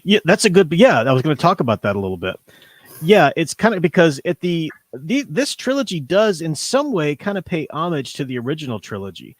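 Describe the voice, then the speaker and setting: A man's voice: a little high, very animated, brisk, no echo. A man hosting a livestream, talking casually about comics in a rambling, hedging way. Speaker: livestream host.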